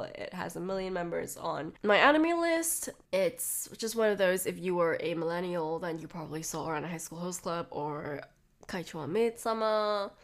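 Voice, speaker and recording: A young adult woman with a blocked nose and a slightly nasal voice, rambling casually on her podcast with lots of "like"s and restarts. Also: fairly low pitch. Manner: chatty, rambling with frequent "like" and restarts. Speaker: podcast host. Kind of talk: casual solo podcast talk.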